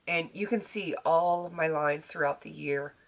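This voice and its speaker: A middle-aged woman with a raspy, slightly hoarse voice, chatting casually to camera about beauty products, with frequent ums and restarts. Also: low voice, normal speed. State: recovering hoarse voice. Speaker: beauty vlogger.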